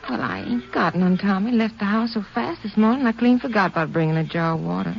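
A woman's voice: mid-range voice, quick pace, gravelly, lightly acted. An actress playing a poor rural Texas mother in an old-time radio drama, plain-spoken, patient and tired but tender. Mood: coaxing, tender. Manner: homely, countrified, patient. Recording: muffled, faintly noisy vintage radio recording.

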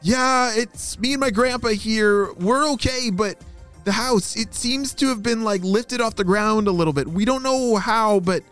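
A man voicing an eager, anxious young boy in a children's story, talking quickly and hesitantly. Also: high-pitched, fairly bright tone, faint music behind, voice pushed up.

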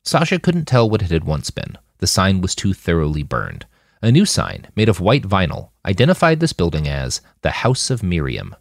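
A man's voice: deep voice, wildly swooping pitch, moderate pace, vocal fry, no echo, clean studio sound. A man reading scripted fiction as an audiobook narrator. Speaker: author narrating his novel.

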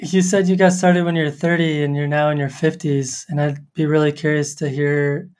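Podcast host, upbeat, curious, measured questions, video call. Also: mid-range voice, level tone, darkish tone. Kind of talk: interview hosting.

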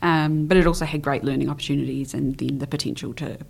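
Guest: young woman, low voice, chatty, hesitant with ums, from the Isle of Man. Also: steady tone, quick pace, vocal fry, husky. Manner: chatty, hesitant, with frequent ums and trailing phrases. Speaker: interview guest. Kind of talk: casual conversational interview.

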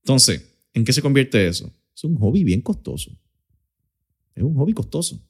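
A man's voice: mid-range voice, big pitch swings, normal speed, husky. Man, Puerto Rican accent, podcast guest, explanatory, drops English business words. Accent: Puerto Rican Spanish. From Puerto Rico. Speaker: startup accelerator director, guest. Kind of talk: podcast interview answers.

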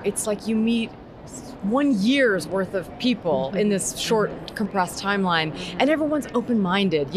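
A woman who founded a startup talks reflectively, pausing to find her words. Her voice sits in a mid range. City traffic noise can be heard clearly around her outdoors. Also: medium pitch. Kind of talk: casual street interview.

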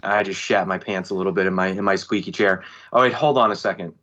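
A man co-hosting a podcast over a call, telling stories with animated, joking, mock-indignant banter. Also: sits low, quick pace, muffled recording. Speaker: podcast co-host. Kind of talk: casual podcast chat. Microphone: remote call mic.

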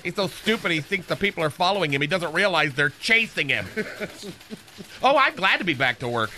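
Brief: high, bright, catty receptionist guy, a man putting him on, camp lilt, radio sketch. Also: big pitch swings, a little fast, a little hiss or hum, heavily acted. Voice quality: lilting, swooping camp delivery. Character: flamboyant, catty, gossipy male receptionist. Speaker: show's receptionist character.

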